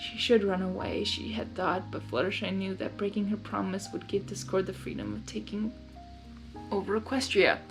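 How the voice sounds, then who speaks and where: A woman reading fan fiction aloud with expressive narration and enthusiastic, chatty asides. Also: mid-range voice, moderate pace, vocal fry, over music, rough recording. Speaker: fanfiction reader.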